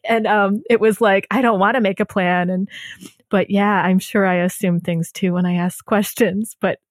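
A woman hosts a podcast conversation, chatty and relatable, with frequent laughs. Her voice sits at a medium pitch, and the sound is close and dry with no room echo. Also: fairly even intonation, moderate pace. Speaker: podcast host. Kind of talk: casual interview conversation.

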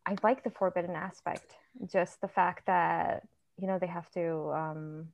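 A woman from India, a guest author chatting in an interview, soft-spoken and reflective, often trailing off quietly. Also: on the low side, steady tone, measured pace, creaky, muffled recording. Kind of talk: casual interview chat.

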